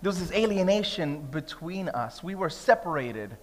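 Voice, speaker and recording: High-voiced New pastor, reverberant hall. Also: male voice, big pitch swings, moderate pace. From Brooklyn, New York. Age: 30s. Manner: conversational, humorous preaching that builds to emphatic declarations. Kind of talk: sermon. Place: church hall. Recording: long reverb of a church hall.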